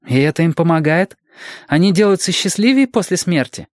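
A man's voice: a little high, lively intonation, fairly quick, no echo, studio quality, pitched above the performer's own voice, natural voice. A man voicing an inquisitive, obedient eight-year-old boy in a storybook, asking questions with curiosity. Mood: curious.

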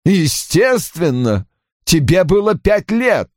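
Man acting older father, high strained pitch, bitter, snappish, defensive, animated, dry studio audiobook. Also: a little slow, no room sound. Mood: irritated. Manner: emphatic, cold, snappish.

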